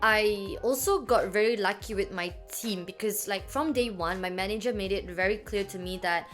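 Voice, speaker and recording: A woman's voice: medium pitch, normal speed, soft background music. A young woman talking casually to camera about her work experience, filling her speech with "like" and "um". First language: not French. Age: young adult. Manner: chatty, many "like" fillers. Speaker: vlogger.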